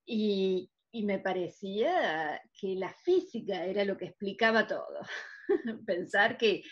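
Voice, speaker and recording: An Argentine woman talks as an interview guest in a medium-pitched voice. Her delivery is cheerful and conversational, like personal reminiscence. The audio is a bit dull and low-fidelity. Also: lively intonation, slow pace. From Córdoba, Argentina. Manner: cheerful, chuckles between phrases.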